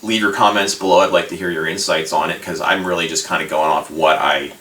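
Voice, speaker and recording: A man with a low, bright-edged voice talks casually and off the cuff, like a video host looking back on a subject. His delivery halts and rambles, feeling its way tentatively through each thought. He sounds set back from the microphone in an echoey room. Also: steady tone, fairly quick, recorded from a distance. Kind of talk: casual unscripted retrospective talk.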